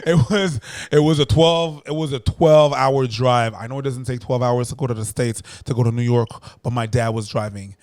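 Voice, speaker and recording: A man's voice: medium pitch, normal speed, few pauses, dry recording, clean studio sound. A middle-aged man who grew up speaking French, telling stories as a guest in a casual interview, with lots of stammered restarts. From Montreal, Canada. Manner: animated storyteller, stammering repeated restarts. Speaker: guest, street dancer.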